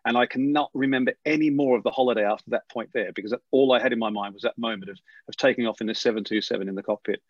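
A middle-aged man with an Australian accent, softened by decades in England, tells his story at a rapid clip. He stumbles and restarts often as he warms up. The recording is dry and a touch muffled. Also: mid-range voice, no room sound. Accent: Australian, softened by years in England. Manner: animated storyteller, quick with many restarts. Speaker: airline pilot guest. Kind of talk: storytelling interview. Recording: slightly dull lo-fi sound.

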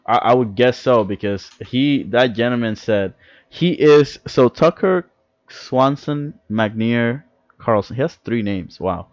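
A young man from the Dominican Republic rambles excitedly through casual podcast chat and curses freely. His voice sits at an ordinary middle pitch. The sound is dry and a little dull. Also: takes it slowly, no echo. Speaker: podcast co-host.

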